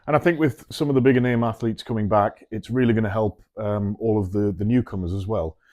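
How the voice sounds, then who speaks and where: A man's voice: fairly low pitch, steady tone, brisk, a little mellow, dry recording, studio quality. A man co-hosting a podcast, chatting casually and asking questions. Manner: chatty, asks questions, frequent restarts. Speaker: podcast co-host. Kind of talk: casual chat.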